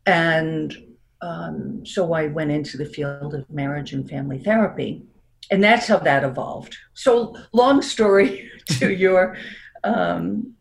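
Unhurried older woman, New York accent. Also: low voice, gravelly, slight background noise. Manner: unhurried, reflective, laughs between thoughts. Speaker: marriage and family therapist guest. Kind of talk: reflective interview talk.

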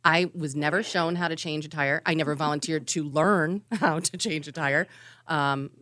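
A woman in her forties with a low voice, recorded close and dry in a studio. She rambles a little and pokes fun at herself, laughing as she talks. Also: normal speed, fairly bright tone, no echo. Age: 40s. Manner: self-deprecating, laughs while talking, rambling. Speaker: radio show co-host. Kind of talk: casual chat.

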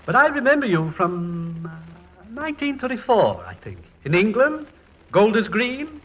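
A fussy, tactless man in a high, sharp, husky voice. His phrases come halting and pointed, in classic 1940s radio comedy style. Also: huge pitch swings, lo-fi sound, exaggerated voice. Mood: prickly, condescending. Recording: vintage 1940s radio recording.

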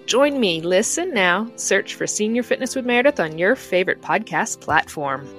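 A woman's voice: mid-range voice, animated, moderate pace, a bit bright. Woman reading a podcast promo. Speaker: podcast host doing a promo. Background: music.

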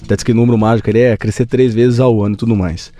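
A Brazilian man who runs a company, answering interview questions in an earnest, measured way with frequent hesitations. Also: mid-range voice, fairly even intonation, mellow. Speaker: company founder, guest.

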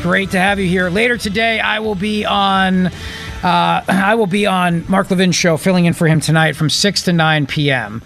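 A male radio host talks straight into a studio mic, his voice sitting a little high for a man. He presses his points hard and says them twice for weight. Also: steady tone, moderate pace, gravelly, a bit bright, faint music behind. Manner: emphatic, repeats points for stress. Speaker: radio talk show host. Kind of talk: opinionated talk radio commentary.

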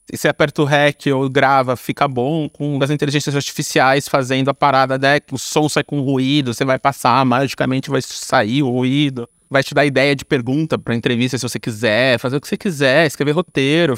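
A man's voice: fairly high pitch, no room sound, clean studio sound. A Brazilian man chatting casually as a podcast guest, informal and slangy, thinking aloud as he goes. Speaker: podcast guest, filmmaker and screenwriter. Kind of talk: casual chat.

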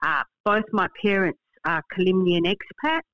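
An Australian woman in her fifties, a barrister being interviewed, speaking in a measured, halting way with many fillers and repeated words. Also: on the low side, no echo, highs cut off. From Darwin, Northern Australia. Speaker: barrister and guest. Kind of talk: podcast interview. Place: remote, from her hometown.

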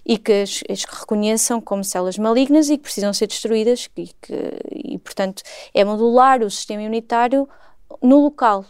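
A woman with a European Portuguese accent, a scientist explaining her therapy research, pausing often to find her words. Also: on the high side, darkish tone, smooth, no room sound. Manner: hesitant, searching for words. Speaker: scientist guest.

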